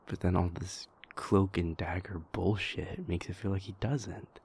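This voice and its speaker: A man playing a wounded, devoted vampire prince in an intimate audio roleplay, speaking haltingly with repeated words in a husky, gravelly voice. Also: low-pitched, steady tone, a little mellow, full character voice. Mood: hurt, frustrated.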